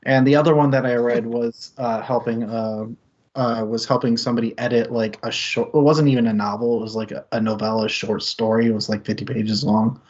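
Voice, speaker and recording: A man's voice: mid-range voice, fairly even intonation, brisk, darkish tone. Rambling man, muffled stream audio. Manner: rambling, lots of fillers and restarts, chuckles often. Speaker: book club co-host. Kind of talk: casual book discussion. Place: home setup, streamed discussion.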